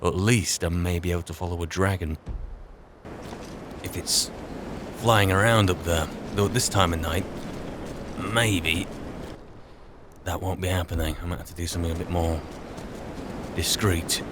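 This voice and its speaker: Deep voiced guy doing a weary grumbly wandering mage, game roleplay, dry muttering, bit of background noise. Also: fairly even intonation, moderate pace, long pauses, close to an everyday voice. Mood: musing. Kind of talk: in-character game roleplay narration. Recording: faint game ambience noise.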